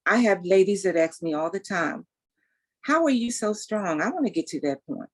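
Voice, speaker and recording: Woman in her sixties, very animated pitch, emphatic, testimony. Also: normal speed, husky. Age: 60s. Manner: animated, emphatic, faith-filled storytelling. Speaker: guest. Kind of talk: casual interview, testimony.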